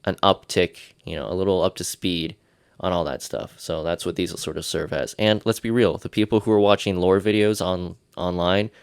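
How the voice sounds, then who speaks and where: A man's voice: deep voice, smooth, no room sound, studio quality. A man hosting a solo podcast, congested from his sinuses, rambling and joking at himself. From Irish. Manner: rambling, self-deprecating, jokey. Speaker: podcast host.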